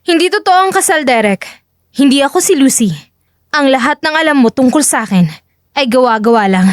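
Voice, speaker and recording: A firm, cool female secret agent acted out by a woman in an audio drama. Her high voice is curt and dismissive, recorded very dry. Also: lively intonation, a little fast, no room sound. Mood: stern, final. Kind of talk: dramatic audio story acting.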